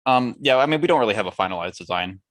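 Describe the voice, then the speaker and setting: A man's voice: fairly low pitch, expressive, rapid-fire. A man co-hosting a casual talk show, chatting in an opinionated, wry way. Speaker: podcast co-host. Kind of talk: casual banter about movies.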